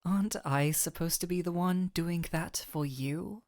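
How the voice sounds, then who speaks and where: A woman playing a gentle, teasing doctor in an intimate fantasy audio roleplay, speaking softly and affectionately, close to the microphone. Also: lowish voice, a little slow, no echo. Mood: tender, shy. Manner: soft, breathy near-whispers, affectionate teasing.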